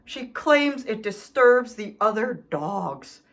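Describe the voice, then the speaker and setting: An indignant, self-pitying dog , voiced by a woman, pleads his case in a children's storybook. The pitch is high and swings widely, and the delivery is slow and theatrical, leaning hard on every grievance. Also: big pitch swings, full character voice. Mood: defiant, complaining. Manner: theatrical, aggrieved, emphatic. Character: indignant, self-pitying, melodramatic male dog writing letters home. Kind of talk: expressive children's storybook read-aloud.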